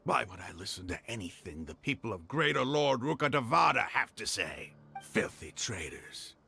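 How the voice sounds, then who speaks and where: A man playing an arrogant, zealous desert mercenary leader in a video game, with a gravelly voice and a grandiose, scornful manner. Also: medium pitch, sing-song, moderate pace, pushed and bright, soft background music, full character voice.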